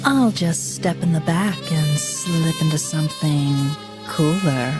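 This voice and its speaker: A man's voice: fairly high pitch, slow pace, over music. A man voicing a sultry, flirtatious cartoon woman in a slinky, teasing manner for an animation demo. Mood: seductive.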